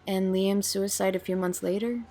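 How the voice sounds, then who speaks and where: Sincere young woman, mid-pitched, played by an actress. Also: fairly even intonation, normal speed, mellow, natural voice. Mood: careful, sympathetic. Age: young adult. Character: Nicole, sincere young woman, blunt but caring. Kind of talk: audio drama acting.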